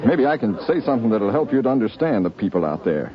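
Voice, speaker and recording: An actor playing a stern, world-wise American police chief in a 1950s radio drama, commanding and plain-spoken. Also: male voice, mid-range voice, very animated, fast, a faint crowd, lightly acted. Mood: grave, instructive. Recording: noisy, muffled 1950s radio recording.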